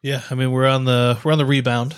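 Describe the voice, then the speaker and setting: A man's voice: medium pitch, level tone, fast talker, smooth, no room sound, studio quality. A man co-hosting a podcast with playful, joking casual banter. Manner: jokey, playful. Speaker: podcast co-host.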